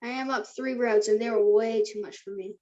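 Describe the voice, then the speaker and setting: Higher-voiced easygoing teenage boy played by a woman. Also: fairly even intonation, fairly quick, a little mellow, muffled recording, natural voice. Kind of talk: casual scripted dialogue at a table read.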